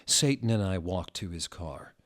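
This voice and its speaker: A man narrating a story as a comic dramatic reading, with a theatrical, deliberate, mock-serious delivery. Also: low voice, expressive.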